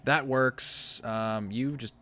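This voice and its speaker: A man's voice: medium pitch, steady tone, slow, pushed and bright, soft background music. A man streaming a strategy game, thinking aloud as he plays and answering chat with self-mocking asides. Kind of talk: casual gameplay livestream commentary.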